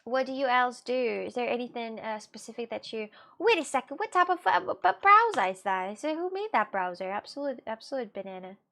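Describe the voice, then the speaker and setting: A woman's voice: fairly high pitch, a little fast, creaky, hardly any pauses. Chatty Russian-born woman streamer. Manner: animated, chatty, repeats herself. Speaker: live streamer. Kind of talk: casual live-stream chat.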